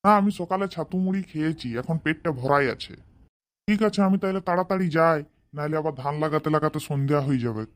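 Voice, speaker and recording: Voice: medium pitch, fast talker, lightly acted. A performer voicing a fond, hard-working village farmer father in a comedy cartoon. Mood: matter-of-fact.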